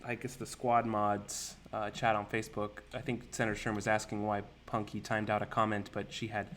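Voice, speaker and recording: A man's voice: lowish voice, monotone, a little fast, fairly bright tone, a little hiss or hum. A young man hosting a live stream, casually reading out viewer comments and names. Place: indoor room with a virtual city backdrop.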